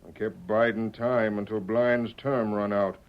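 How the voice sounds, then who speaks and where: A man's voice: lowish voice, fairly even intonation, a little slow. A man playing a stubborn, hot-tempered, honest frontier father in an old radio western, with folksy rural speech. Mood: rueful. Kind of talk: 1940s radio western acting. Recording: muffled old radio recording.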